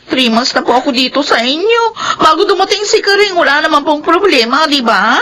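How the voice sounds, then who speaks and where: A woman's voice: high voice, animated, brisk, bright, forceful tone, slight background noise. A woman playing a shifty, pleading young housemaid in a radio drama. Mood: defensive. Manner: pleading, defensive.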